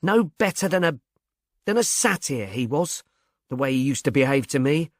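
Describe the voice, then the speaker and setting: A man's voice: mid-range voice, expressive, moderate pace, no room sound, studio quality. Gossipy young maid, played by a man. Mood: gossipy, scornful.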